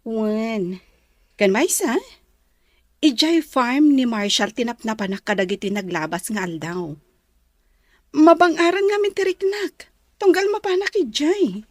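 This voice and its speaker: Actress as troubled young heiress, Filipino accent, highish voice, gentle, apologetic, dry sound. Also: female voice, lively intonation, a little slow, pauses often, no echo. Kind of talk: Ilocano drama acting.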